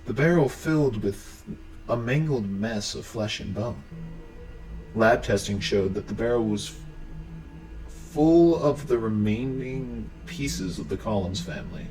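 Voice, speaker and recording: A man's voice: lowish voice, animated, slow pace, darkish tone, soft background music, low-quality recording. A man narrating an explainer about a horror series in a scripted recap style. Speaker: video narrator.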